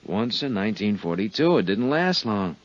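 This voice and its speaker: Shifty, genial man being questioned: folksy, nervous, lively inflection, vintage radio drama, muffled lo-fi sound. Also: on the low side, moderate pace. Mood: uneasy. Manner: folksy, tags questions with "huh", nervous chuckles. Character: evasive man under questioning, affable but uneasy, possible impostor. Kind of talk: 1950s radio drama acting. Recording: dull 1950s radio recording.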